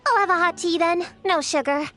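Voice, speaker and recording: A composed, inquisitive girl from a video game. She is high-pitched yet level-headed and a little reserved. Faint music plays underneath. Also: brisk, bright, forceful tone. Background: faint children's game music.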